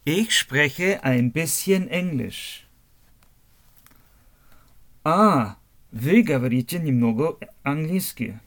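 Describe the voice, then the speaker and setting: A man from Germany, teaching a language lesson slowly, spelling words out letter by letter and repeating phrases. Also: a little high, lively intonation, a bit bright.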